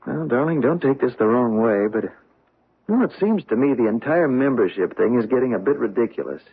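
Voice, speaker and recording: Casting: gentle American husband, halting and tactful, medium-pitched, very expressive, muffled old radio recording. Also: male voice, breathy edge. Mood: hesitant concern. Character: gentle, hesitant American husband, mildly reproachful but affectionate. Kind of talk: radio mystery drama acting. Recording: muffled narrow-band old radio broadcast.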